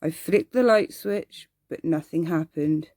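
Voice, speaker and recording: A woman reading a bedtime story in ASMR style, deliberately and with the odd stumble over words. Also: low-pitched, mellow, no room sound, studio quality.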